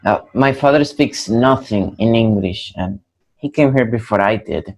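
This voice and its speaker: A man's voice: fairly low pitch, a little slow, darkish tone. An actor playing an earnest young Mexican man who mixes in Spanish phrases, in a play reading.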